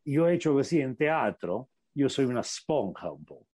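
A man with an Italian accent talks as a meditation teacher on a radio show, searching for his words and slowing down to stress key points. His pitch rises and falls a lot. The recording is dry and close. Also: very animated, darkish tone, slightly rough, no room sound. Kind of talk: reflective interview answers.

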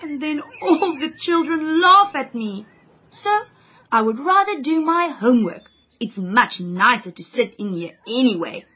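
A woman's voice: sits high, expressive, unhurried, breathy edge, muffled recording, full character voice. A woman voicing an earnest, studious young girl who loves homework and avoids exercise.

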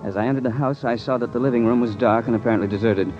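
An actor playing a hard-boiled, principled American investigator in a vintage radio drama, terse and measured as he narrates and speaks. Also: medium pitch, natural voice. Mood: brooding narration. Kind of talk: 1940s-50s radio drama acting with first-person narration. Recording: muffled vintage radio with music.

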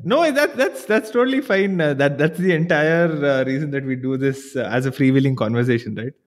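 A man's voice: on the high side, animated, a little fast. A man hosting a casual, freewheeling interview in an agreeable, easygoing way. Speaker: podcast host.

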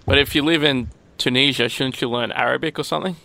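A male host asks direct questions and reads promos plainly. His voice is bright and smooth with a gravelly edge, and dry close to the mic. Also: medium pitch, quick pace, dry recording. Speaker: interview host. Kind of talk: interview questions and show outro.

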